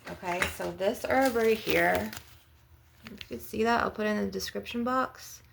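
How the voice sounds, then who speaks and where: A woman's voice: medium pitch, normal speed, vocal fry, a little hiss or hum. A woman healer talking informally and emphatically to her video audience in a spiritual ASMR session, with casual filler phrases and some swearing. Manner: conversational, emphatic, peppered with swearing and "you know".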